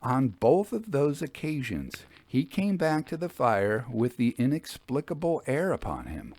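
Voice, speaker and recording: Male narrator, medium pitch, dry sound, measured, formal, classic ghost story audiobook. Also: a little mellow, dry recording.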